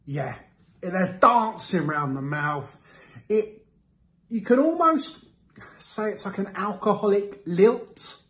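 A man in his thirties giving a casual product review to camera, with a playful, theatrical delivery and drawn-out words. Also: sits high, animated, a bit bright. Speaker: host of a beer review series. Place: small indoor room with window blinds.

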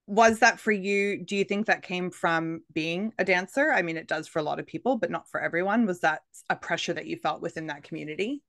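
A woman's voice: on the low side, fairly quick, hardly any pauses, a bit bright, no room sound, studio quality. A woman in her thirties hosting a podcast interview on a video call from a room with bookshelves, speaking earnestly and with encouragement. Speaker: podcast host, coach for midlife women.

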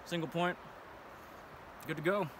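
A young man with a slightly high voice talks through his fishing setup in a relaxed, plainspoken way. He is standing a few feet back from the camera by a river, and steady outdoor noise runs underneath him. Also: measured pace, far from the mic. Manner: laid-back, plainspoken, slangy. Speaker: fishing vlogger. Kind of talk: casual how-to demonstration. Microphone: camera a few feet away.

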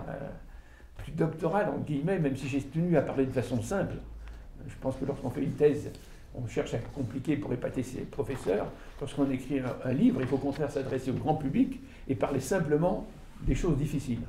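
Older man, author lecturing, dark mellow voice, halting and animated, caught in a roomy hall by a far camera. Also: mid-range voice, vocal fry, slight background noise. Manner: animated, halting delivery with frequent fillers and restarts. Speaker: author giving a book talk. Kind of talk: informal lecture to an audience. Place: small room with audience, indoors. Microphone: far camera, no mic seen. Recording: echoey room from far off.

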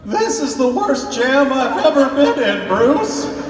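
A man's voice: extremely high pitch, big pitch swings, slow pace, over music, exaggerated voice. A man playing an anxious, flustered superhero in disguise in melodramatic old-time radio style. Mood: panicked. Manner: melodramatic, exclaiming. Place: on stage in a large convention hall. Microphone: far audience camera picking up PA. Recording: echoey convention hall from far off, audience noise.

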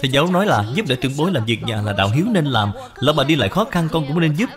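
A man's voice: mid-range voice, very animated, very fast, big-room sound, crowd noise, natural voice. A man voicing a dutiful, kind-hearted little boy in a cartoon dub, polite and earnest. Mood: polite, concerned.

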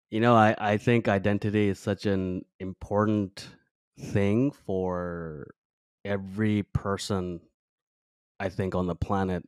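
A man's voice: low-pitched, no room sound, studio quality. A man in his thirties from Bangladesh, a podcast guest talking reflectively, searching for words with many fillers and restarts. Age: 30s. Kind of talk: reflective interview talk. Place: small room with plant and guitar on wall. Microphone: headset with studio mic on arm.

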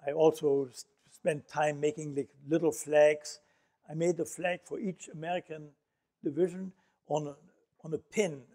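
A man's voice: on the high side, level tone, moderate pace, mellow. Older Dutch-accented man telling his story. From Netherlands. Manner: reflective storytelling with frequent fillers. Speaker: Holocaust survivor speaker. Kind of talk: lecture. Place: auditorium stage.

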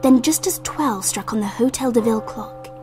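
A woman playing a thoughtful young girl, measured and earnest as she tells a radio drama story. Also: on the high side, over music, lightly acted. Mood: reflective. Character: thoughtful young English girl recounting a summer. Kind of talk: radio drama narration and acting. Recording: faint background noise.

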